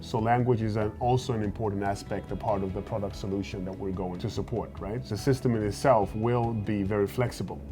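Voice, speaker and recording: A man in his forties from Sweden, a startup founder speaking at a fireside chat interview, earnest and often pausing on "uh" and repeated words as he thinks. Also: fairly low pitch, fairly even intonation, over music. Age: 40s. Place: event stage at a fireside chat.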